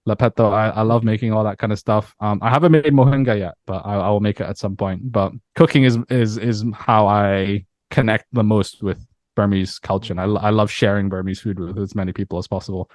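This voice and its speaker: A man from Myanmar in his thirties with a Scottish accent, talking through his life story as an interview guest, relaxed and reflective with many repeated words. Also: lowish voice, fairly quick, no echo, clean studio sound. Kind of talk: casual interview chat.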